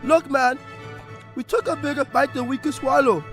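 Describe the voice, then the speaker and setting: A man's voice: very high voice, steady tone, moderate pace, over music, pitched above the performer's own voice. A man voicing a nervous, fidgety bald English guy in a mock English accent that slips toward mock Jamaican, stammering and jittery. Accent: mock English, slipping into mock Jamaican.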